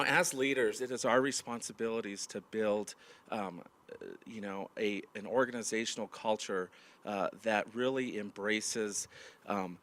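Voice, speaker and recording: A man's voice: fairly low pitch, fairly bright tone. A man who is losing his voice, hosting a staff meeting as county manager and speaking to a large audience with many fillers and restarts. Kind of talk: opening remarks to a large audience.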